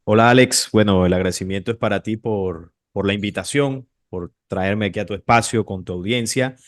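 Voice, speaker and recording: A man's voice: fairly low pitch, normal speed, no echo, clean studio sound. A man from Colombia, a guest on an interview, speaking in a polite, thoughtful way. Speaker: guest, channel creator. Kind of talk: casual interview chat.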